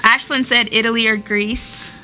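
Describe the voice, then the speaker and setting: A woman's voice: fairly high pitch, steady tone, pushed and bright, faint music behind, poor audio. A young woman co-hosting a church livestream, upbeat and chatty, reading out viewer comments. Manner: upbeat, reads viewer comments aloud, quick agreeing replies. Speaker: co-host. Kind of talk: casual livestream chat.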